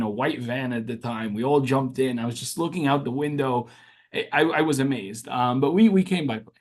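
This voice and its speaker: Young syrian-born guy, arabic's his first language, telling his story in an interview, earnest, trips over his words and starts over a lot. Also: medium pitch. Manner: earnest, with frequent restarts and fillers. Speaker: guest.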